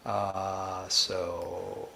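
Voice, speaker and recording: A man hosting a live coding tutorial, thinking aloud in a halting, informal way and often restarting his sentences. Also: low-pitched, level tone, gravelly, pushed and bright, slight background noise. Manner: halting, self-interrupting, informal. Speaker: livestream host, programming instructor.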